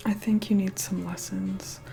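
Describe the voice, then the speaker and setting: A woman's voice: medium pitch, level tone, measured pace, gravelly, background noise. A woman playing a haughty, impatient immortal vampire in a whispered ASMR role-play, curt, condescending and bossy as she reluctantly helps. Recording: close whispered voice under loud music. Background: music.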